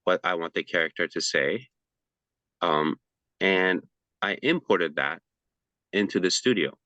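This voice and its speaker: A man's voice: sits low, unhurried, pushed and bright, no echo. A man giving a casual presentation over a video call, chatty and loose, with frequent fillers and restarts.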